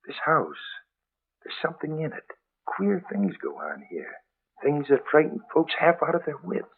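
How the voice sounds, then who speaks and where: An actor playing a wary small-town milkman in 1930s radio drama style, polite, folksy and hesitant, with a husky voice. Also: on the high side, huge pitch swings, normal speed, exaggerated voice. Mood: ominous. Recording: tinny, phone-like 1930s radio recording.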